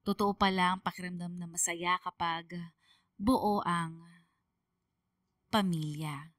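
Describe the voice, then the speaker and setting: A woman's voice: lowish voice, steady tone, slow, fairly bright tone, distant mic. A woman with a Filipino accent playing a sentimental young daughter, narrating her heartfelt letter in a radio drama. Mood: tender, hopeful. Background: music.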